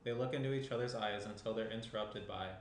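A young man narrating screenplay stage directions in a plain, measured way. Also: fairly low pitch. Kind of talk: scripted narration. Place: small indoor room around a table. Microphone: shared tabletop microphone. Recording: roomy tabletop pickup, faint noise.

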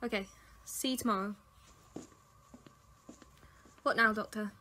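A woman's voice: medium pitch, fairly even intonation, measured pace, sharp tone, slight background noise. An actress playing an eager, curious young woman, earnest in manner, in a science fiction audio drama.

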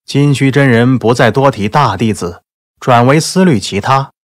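A man narrating a novel as a scripted audiobook, in a measured, literary storytelling manner. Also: medium pitch, dry recording, clean studio sound. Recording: soft background music under the voice.

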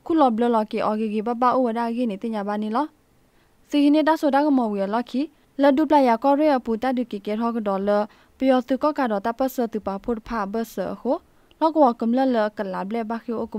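A woman's voice: fairly high pitch, fairly quick, darkish tone, dry recording. A woman presenting radio news, reading from a script.

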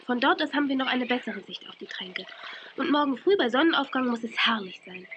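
A high-pitched young woman, careful and reassuring, in a children's radio play. The sound is dull, with clear noise from an old cassette. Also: animated, fairly quick. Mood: thoughtful. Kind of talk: German children's audio drama acting. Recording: dull, noisy 1980s cassette audio.

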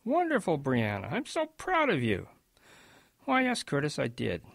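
A husky, creaky old grandfather reminisces in a radio drama. His pitch swings in lively, gentle storytelling, and the recording is close and dry. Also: moderate pace, dry recording, full character voice. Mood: wistful. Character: kindly old grandfather reminiscing about wartime.

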